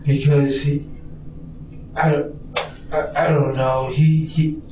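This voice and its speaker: A man's voice: a little high, little pitch movement, takes it slowly, mellow. A hesitant American man who stammers and repeats words while answering questions in a police interview. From Arizona, United States. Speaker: suspect being questioned by police. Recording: distant roomy mic, dull audio, faint noise.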